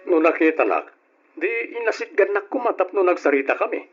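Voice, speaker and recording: A bossy, easily irritated husband speaks in a fairly high voice with an Ilocano Filipino accent. He is insistent and scolding, in vintage radio drama style, on a slightly muffled lo-fi recording. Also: sing-song, fairly quick, soft background music. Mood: defensive, irritated. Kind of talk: old-fashioned radio drama acting.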